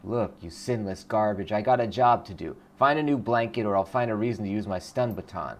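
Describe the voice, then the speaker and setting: A man's voice: on the low side, a little fast, natural voice. A man voicing an irritated, threatening police officer from a video game. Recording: clear background noise.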